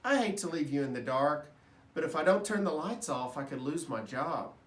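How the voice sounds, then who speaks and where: A man voicing a jovial, chatty male night janitor from a children's storybook, booming and folksy. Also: expressive, moderate pace, close to an everyday voice. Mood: warm, reassuring. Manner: hearty, folksy, playful. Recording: roomy echo, distant microphone.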